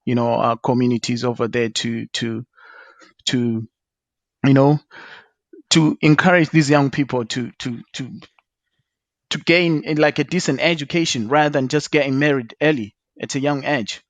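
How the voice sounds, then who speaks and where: A man hosting an online panel discussion, introducing a guest in a halting way with many fillers and repeated words. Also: mid-range voice, fairly even intonation, no room sound. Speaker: talk show host.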